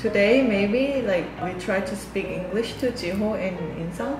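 A woman's voice: medium pitch, a little fast. A woman, cheerfully hosting a home vlog in English and laughing often. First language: Korean. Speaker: vlogger host. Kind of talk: casual vlog talk. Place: indoors at home. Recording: echoey home room with music.

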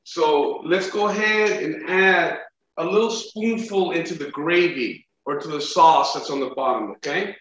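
A man's voice: fairly high pitch, unhurried, a bit bright, roomy sound, muffled recording, slight background noise. A middle-aged man, a chef, leading a cooking demo and checking in with his student over a video call. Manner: encouraging, coaching with frequent "okay?" and "right?" checks. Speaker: chef instructor.

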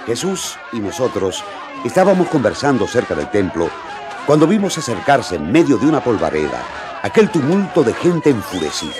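A man's voice: medium pitch, very animated, normal speed. A man narrating a radio drama as a vivid, dramatic storyteller. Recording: crowd noise behind.

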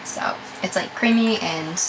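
A woman's voice: fairly low pitch, a little slow, background noise. A woman in her thirties chatting and teasing in a casual way aboard a sailboat. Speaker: sailing vlogger. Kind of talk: casual conversation. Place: sailboat cabin.

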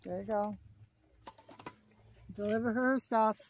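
A woman behind the camera in a backyard, casually coaching and gently teasing children through a pretend game. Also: mid-range voice, fairly even intonation, takes it slowly, a little hiss or hum. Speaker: parent filming children at play. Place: backyard, outdoors. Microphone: handheld camera, speaker behind it.

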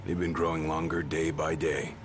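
A man voicing a big, tough, laid-back young bodyguard in a video game, gruff, casual and blunt. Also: a bass voice, level tone, moderate pace, faint music behind. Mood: gruff, easygoing.

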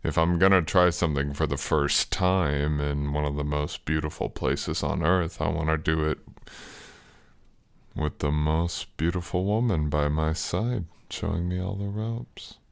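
Very deep-voiced man, intimate boyfriend roleplay. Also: steady tone, unhurried, gravelly, clean tone, no room sound. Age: young adult. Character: affectionate, teasing younger boyfriend. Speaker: audio roleplay performer playing a boyfriend. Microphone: close, dry microphone.

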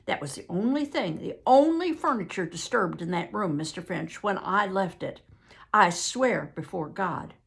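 A woman voicing a nervous, polite young rural man from the American South in an audiobook, halting and earnest. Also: mid-range voice, animated, vocal fry, fairly bright tone. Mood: agitated, pleading. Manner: halting, earnest, deferential, folksy. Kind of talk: audiobook character acting.

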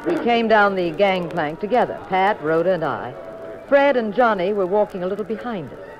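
An actress playing a spirited, teasing detective's wife with an arch, pert manner in a 1950s radio mystery. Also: female voice, medium pitch, lively intonation, moderate pace, highs cut off, lightly acted. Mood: narrating. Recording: band-limited 1950s radio recording with music.

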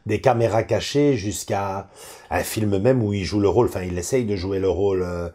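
Middle-aged host, mellow lowish voice, hesitant fillers, living room on a far camera. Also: male voice, steady tone, normal speed. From Belgium. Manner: hesitant, many fillers and restarts. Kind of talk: casual chat about film collecting.

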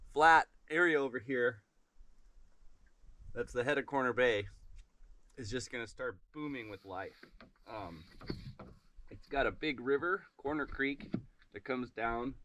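A man in his thirties, slightly hoarse from a cold, chatting in a relaxed, upbeat way with lots of fillers while filming himself outdoors on a dock by a bay. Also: medium pitch, slight background noise. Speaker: sawmill owner vlogging his workday. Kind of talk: casual outdoor vlog. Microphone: action camera held at arm's length.

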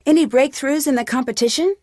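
An actress performs a young woman detective for a video game, her voice on the high side and recorded dry. She is inquisitive and level-headed, putting questions in an earnest, probing way. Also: quick pace, a little mellow, no echo, lightly acted. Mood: curious, friendly. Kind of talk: video game voice acting, isolated dialogue lines.

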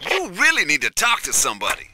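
An American actor playing a bossy, exasperated cop with dry sarcasm in a video game. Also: male voice, high-pitched, wildly swooping pitch, fast, heavy background noise. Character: bossy exasperated male cop sniper partner.

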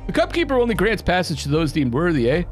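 A man's voice: high-pitched, wildly swooping pitch, fast. Canadian man reading out a proud referee. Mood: proud, formal. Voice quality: reading game dialogue aloud. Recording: game music playing behind.

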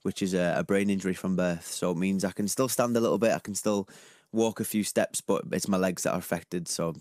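A man's voice: low voice, a little fast, no echo. A man in his twenties with a Liverpool accent, a guest talking openly and easily about his life in a radio studio interview. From Liverpool, England. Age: 20s. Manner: open, relaxed, laughs easily. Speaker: guest athlete. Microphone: studio microphone.

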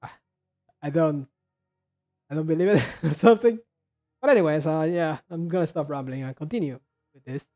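A man giving casual commentary as a reaction video host, rambling haltingly and often repeating and restarting his words. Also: on the high side, darkish tone, soft background music. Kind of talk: casual commentary on game trailers.

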